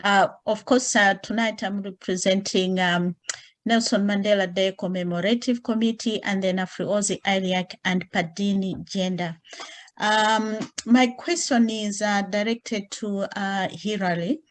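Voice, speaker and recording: A woman representing community groups who asks a panelist a question politely, with frequent pauses. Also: medium pitch, fairly even intonation. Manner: polite and measured, with frequent uh fillers. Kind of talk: online panel discussion.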